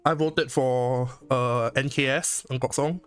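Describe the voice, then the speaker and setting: A man's voice: mid-range voice, steady tone, unhurried. A man from Singapore co-hosting a casual chat, rambling with many restarts and swearing heavily. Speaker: co-host.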